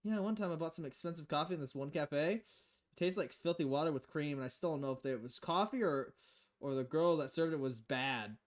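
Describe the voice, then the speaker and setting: Lady playing a deadpan bartender woman from a game, lower and quieter, dry and kinda hesitant. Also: brisk, lightly acted. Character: low-key dry-humored female bartender.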